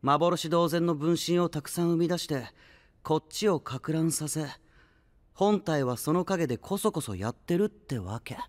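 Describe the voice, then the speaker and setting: An actor playing a calm, clever young male knight in an anime-style voice drama, cool, confident and lightly mocking. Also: fairly high pitch, unhurried, no room sound, natural voice. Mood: confident, explaining.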